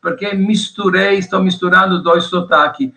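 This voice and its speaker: Italian-accented prof guy on a podcast, walks through his points one by one, pretty high voice. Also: fairly even intonation, pushed and bright, rough recording. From Italy. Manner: measured, lists points one by one. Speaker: guest professor of theology. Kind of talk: lecture-like explanation on a podcast.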